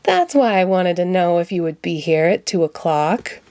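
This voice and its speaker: A woman's voice: lowish voice, lively intonation, normal speed, background noise. A woman voicing a friendly, helpful, childlike giant bird puppet from a children's storybook, eager to explain. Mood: cheerful.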